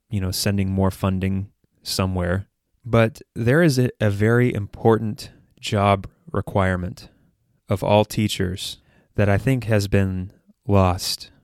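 A man's voice: lowish voice, dry recording, studio quality. An American man hosting a podcast, giving earnest, conversational solo commentary with hesitant phrasing. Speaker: podcast host.

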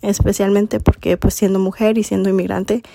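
A woman's voice: mid-range voice, flat delivery, normal speed, creaky, mellow, no room sound, studio quality. A woman in her twenties from Mexico, hosting a podcast and chatting casually with many fillers. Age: 20s. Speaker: podcast host.

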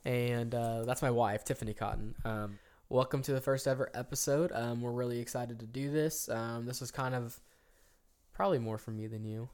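A man in his early thirties co-hosting a casual podcast, talking in long rambling stretches with frequent ums and restarts that jump into animated bursts. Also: medium pitch, normal speed, clear, resonant tone. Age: 30s. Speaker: podcast co-host. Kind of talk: casual chat.

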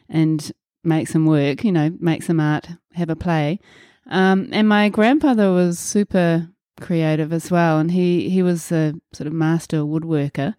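Woman, New Zealand accent, forties, mellow low voice, reflective casual chat. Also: clean tone, no echo, clean studio sound. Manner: friendly, reflective, many fillers. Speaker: podcast host being interviewed. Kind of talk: casual conversational interview.

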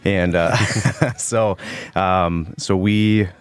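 A man with a lowish voice co-hosts a podcast in relaxed, joking chat. He sounds close to the microphone in a dry studio. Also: drawn-out words, clear, resonant tone, no room sound, soft background music. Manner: jokey and upbeat, laughs easily. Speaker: police officer, podcast co-host.

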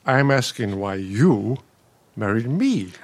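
An actor with an American accent playing a grumpy, needling older husband in a radio play, with a dry, sardonic manner. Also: male voice, on the low side, very animated, slow pace, heavily acted. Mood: insistent.

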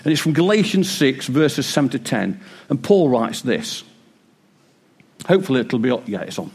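A man preaching a sermon in church, a bit croaky, with a joking, warm delivery. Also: medium pitch, big pitch swings, moderate pace. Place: church hall with congregation. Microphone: wireless mic.

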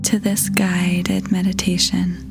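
Female meditation guide: soft breathy near-whisper, very slow, even pitch, gentle and soothing. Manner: soothing, gentle, measured with long pauses. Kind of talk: guided meditation, scripted narration. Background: music.

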